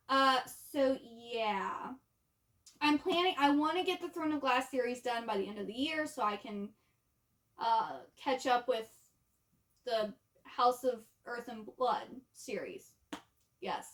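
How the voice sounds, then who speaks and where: High-pitched woman, casual book chat. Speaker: book review vlogger.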